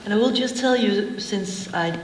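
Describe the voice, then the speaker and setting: A woman's voice: medium pitch, normal speed. A woman moderating a live literary event and putting questions to a guest. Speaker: interviewer and moderator. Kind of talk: live on-stage literary interview. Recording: echoey hall, faint background noise.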